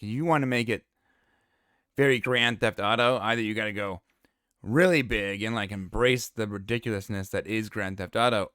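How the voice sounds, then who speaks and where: A man's voice: on the low side, clean tone, no echo, clean studio sound. A man co-hosting a casual podcast, joking around and rambling with fillers. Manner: jokey, animated, hesitant with fillers. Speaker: podcast co-host. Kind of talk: casual chat.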